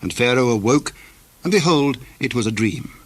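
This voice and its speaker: Male narrator, medium pitch, formal, measured, solemn scripture storytelling, dry recording. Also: animated, no room sound.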